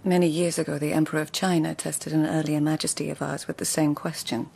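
An actress playing a witty, poised lady of an old Japanese court in a radio drama, speaking in a measured, deferential way. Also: low-pitched, level tone, gravelly, darkish tone. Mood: modest, teasing.

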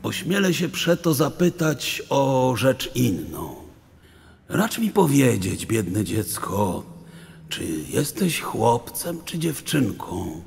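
A man's voice: medium pitch, vocal fry, darkish tone, slightly rough, big-room sound, full character voice. Man playing a solemn, kindly old sage. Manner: formal, solemn, earnest. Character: kindly, naive, gray-haired old male sage, gravely courteous.

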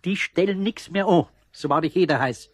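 Older craftsman, Bavarian accent, husky, good-natured, plain-spoken, radio drama. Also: high voice, moderate pace, dry recording. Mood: reassuring, determined. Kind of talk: radio play acting.

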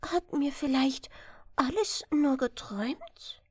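Woman voicing a soft, high-pitched flower princess. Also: animated, takes it slowly, no echo, studio quality. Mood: plaintive. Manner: soft, dainty. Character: delicate young flower princess, refined and curious. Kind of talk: fairy-tale storybook reading.

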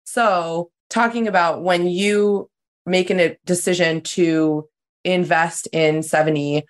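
A woman co-hosting a podcast in a casual, upbeat way, her voice a little worn. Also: sits low. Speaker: podcast co-host.

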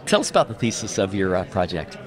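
A man's voice: low-pitched, very animated, fast, a crowd in the background. Man, podcast host, reading out introductions, table mic, conference booth. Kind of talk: introducing a guest. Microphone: table mic on stand, wearing headphones.

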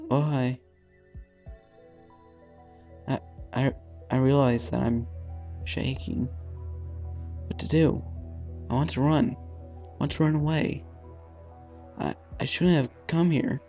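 Slow-paced man reading a story aloud. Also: medium pitch, fairly even intonation, mellow, muffled recording. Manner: halting, stumbling over the text. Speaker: let's play host. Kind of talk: dramatic read-aloud of visual novel narration. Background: faint game music.

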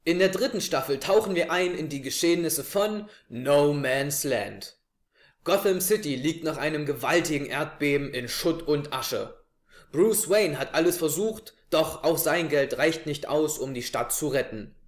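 A young man hosts a review show with a fairly high voice. He presents to camera in a living room, and the recording is clearly echoey. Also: a little slow. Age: 20s. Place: small living room, indoors on a couch.

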